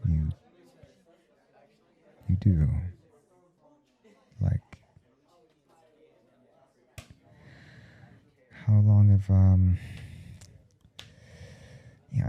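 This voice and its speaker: A man playing a nervous, smitten professor in an intimate ASMR roleplay, husky and halting, stammering coyly as he speaks. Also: muffled recording, heavily acted. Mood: anxious, hesitant. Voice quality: husky, dark low murmur. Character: nervous, smitten male professor confessing forbidden attraction. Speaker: audio roleplay performer.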